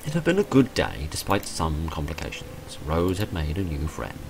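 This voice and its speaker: A man reading the storybook narration of a gentle visual novel. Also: a bass voice, wildly swooping pitch, normal speed, gravelly, slight background noise, full character voice, low-quality recording. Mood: gentle storytelling.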